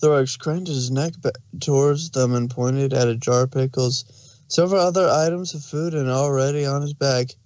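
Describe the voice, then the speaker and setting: A man's voice: medium pitch, normal speed, darkish tone, dry recording. A man narrating a story aloud as a scripted fan fiction reading.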